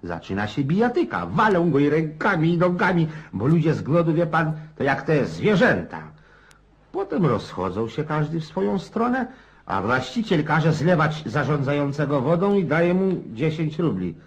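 An actor playing a garrulous, cynical old Cossack in a radio play, rough-edged and folksy, prodding his listener with questions. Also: fairly high pitch, sing-song, moderate pace, dull audio, heavily acted. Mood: animated storytelling.

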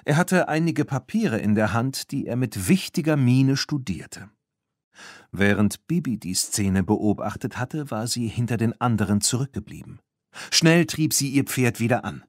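A man narrating a children's story from a script in an expressive, animated way. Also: mid-range voice, unhurried, no echo, studio quality. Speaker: audiobook narrator.

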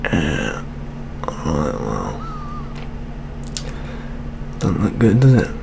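Young man acting as world-weary older British detective, deep, unhurried, soft-spoken ASMR muttering, wry and gloomy. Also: wildly swooping pitch, vocal fry, noisy background, exaggerated voice. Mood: jaded, resigned. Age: young adult. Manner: slow, muttering, gloomy gallows humour.

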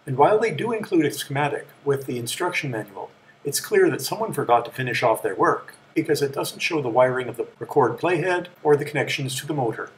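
A middle-aged man giving a measured, matter-of-fact scripted explanation as the presenter of a technical tour. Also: medium pitch, lively intonation, a little hiss or hum, poor audio. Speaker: presenter of a vintage electronics tour.

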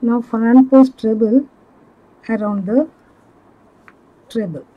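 A woman giving step-by-step craft instructions in a measured, halting manner, as a tutorial instructor. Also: a little high, narrow, tinny audio. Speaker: crochet instructor.

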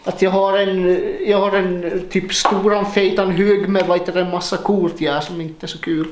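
A man's voice: sits high, level tone. Young man joking to a handheld camera. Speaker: vlogger. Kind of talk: casual vlog chat.

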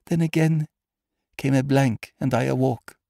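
A man voicing a terrified, haunted aristocratic lady in a tremulous, breathy, dramatic manner for a storybook. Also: mid-range voice, steady tone, unhurried, mellow, no echo, clean studio sound, voice pushed up. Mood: frenzied dread.

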